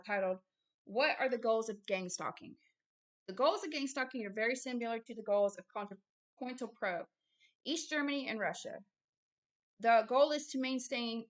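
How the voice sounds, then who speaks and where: A woman with a fairly high voice delivers religious commentary, moving between reading aloud and her own explanations. She is emphatic and often repeats a phrase to drive it home. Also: a bit bright. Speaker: host, self-described prophet reading a book aloud with commentary.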